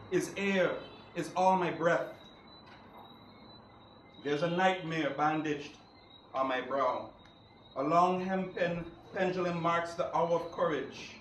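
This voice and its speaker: A man's voice: high-pitched, fairly bright tone. A young man gives an impassioned, solemn, declamatory poetry recital. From Guyana. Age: young adult. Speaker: poetry reader. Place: live recital event in a room. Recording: roomy, distant mic, faint noise.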